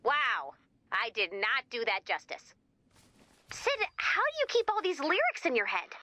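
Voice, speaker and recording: A woman playing a perky, excitable robot who gushes like a nerdy music superfan in a video game. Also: high-pitched, unhurried, no room sound, full character voice. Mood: playful. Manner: gushing, quippy, theatrical. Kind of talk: video game character dialogue.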